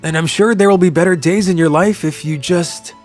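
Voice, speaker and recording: A man playing a kind, lovelorn young traveller in an English manga dub, narrating his story and speaking in an earnest, soft-hearted way. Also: sits high, fairly quick, soft background music, close to an everyday voice. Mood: earnest, concerned.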